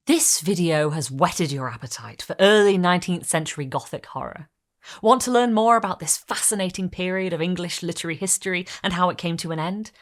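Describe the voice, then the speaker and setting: A woman narrating a Gothic story in a hushed, measured reading. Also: fairly low pitch. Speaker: story reader. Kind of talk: dramatic reading of a Gothic novel.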